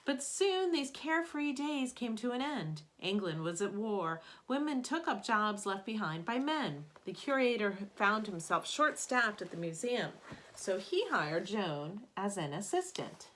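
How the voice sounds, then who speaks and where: A woman with a mid-range voice reads a children's bedtime story aloud. She sounds like a teacher sharing a picture book, giving key words expressive, dramatic emphasis. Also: medium pitch, a little slow, a little hiss or hum, low-quality recording.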